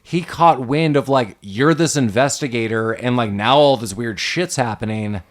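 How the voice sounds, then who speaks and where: A man's voice: medium pitch, moderate pace. A man co-hosting a casual movie podcast, rambling with lots of "like" and frequent laughs. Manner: rambling, heavy on "like", laughs often. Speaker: podcast co-host.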